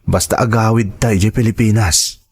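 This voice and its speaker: A man's voice: fairly low pitch, steady tone, a little fast, husky. A man with a Filipino accent playing a concerned young grown son in an audio drama, earnest, firm and respectful. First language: Ilocano.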